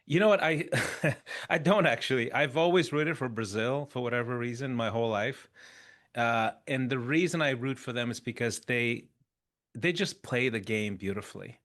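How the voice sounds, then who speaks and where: Animated male interview guest in his fifties. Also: mid-range voice, moderate pace. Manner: animated, restarts phrases as he thinks. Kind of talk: conversational storytelling.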